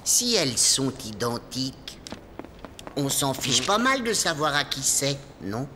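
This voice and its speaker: A man's voice: mid-range voice, very animated, a little slow, noisy background. A man playing a sensible animal doctor in a children's cartoon, polite and slightly pompous. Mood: reasoning. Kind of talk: children's cartoon dubbing in French.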